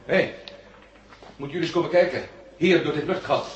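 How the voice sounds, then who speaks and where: An actor playing a determined, sharp-witted, suspicious astronaut hero in a 1970s radio drama, brisk and commanding. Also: male voice, a little high, lo-fi sound, close to an everyday voice. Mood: alert, excited. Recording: faintly noisy 1970 radio play.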